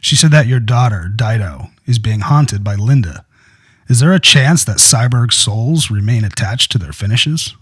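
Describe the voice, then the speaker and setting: An actor playing an earnest, compliant, curious citizen in an audio drama, questioning and a little hesitant. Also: male voice, medium pitch, expressive, moderate pace, fairly bright tone, husky, dry recording, clean studio sound.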